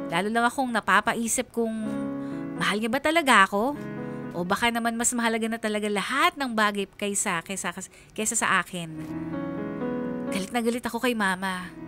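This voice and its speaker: A woman's voice: a little high, lightly acted. A woman playing an angry, wounded young daughter, narrating her story in a bitter, emotional radio drama outpouring.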